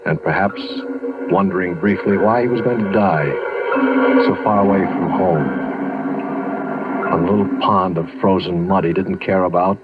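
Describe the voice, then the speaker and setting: An actor playing a modest, solemn American soldier telling a war tale in an old radio drama. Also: male voice, deep voice, steady tone, normal speed, long pauses, phone-quality sound, close to an everyday voice. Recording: band-limited old radio recording. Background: music.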